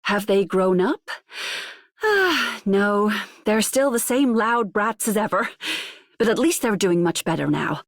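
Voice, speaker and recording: A woman's voice: mid-range voice, expressive, measured pace, no room sound, studio quality. A woman voicing an overworked, wry but fond medic in a game, matter-of-fact. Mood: weary, fond. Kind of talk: scripted game voice lines.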